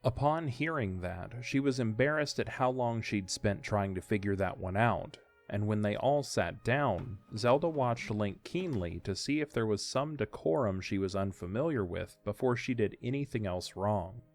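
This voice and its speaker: A man narrates a scripted story. His voice sits fairly low. Music runs behind the narration. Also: animated, normal speed, hardly any pauses.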